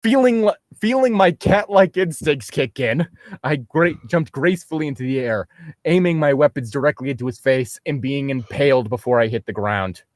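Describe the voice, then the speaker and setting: A young man with a mid-pitched voice reads and riffs on fan fiction. He is sarcastic and casual, swearing freely. The sound is dry and close. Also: expressive, normal speed, no room sound. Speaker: reader and co-host. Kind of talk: mocking fan fiction reading with casual commentary.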